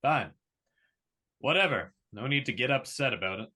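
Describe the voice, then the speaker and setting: A man's voice: mid-range voice, lively intonation, moderate pace, pushed and bright. A man reading the part of an awkward, privileged young heir in a drama table read, talking in a casual, rambling way.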